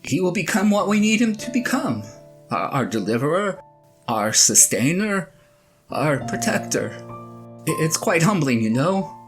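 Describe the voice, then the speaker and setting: A woman's voice: low voice, very animated, a little slow. A woman playing a flustered, self-doubting shepherd husband in an audio drama, stammering earnestly as the character confides in a spouse. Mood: hesitant, earnest. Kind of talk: one-sided audio drama monologue, conversational and comic. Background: plucked guitar music.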